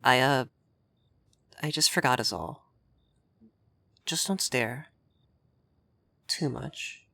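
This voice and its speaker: A man's voice: mid-range voice, takes it slowly, vocal fry, sharp tone, dry recording, exaggerated voice. A man playing a young effeminate vampire mage in roleplay ASMR, vain and refined, coy and easily flustered in an intimate delivery.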